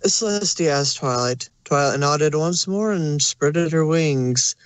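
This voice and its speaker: A man's voice: fairly high pitch, animated, takes it slowly, clean tone, no echo. A man narrating a scripted story as its narrator.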